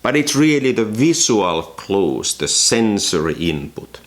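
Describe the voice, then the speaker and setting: A man from Finland with a Finnish accent, hosting a photography channel and reflecting thoughtfully to camera from a room at home, often pausing with ums and restarts. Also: on the low side, very animated.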